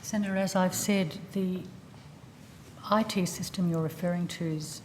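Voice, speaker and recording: A woman's voice: on the low side, fairly even intonation, moderate pace, a little hiss or hum. A woman, a government official, gives formal testimony in a hesitant, careful, bureaucratic manner. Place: large indoor hearing room.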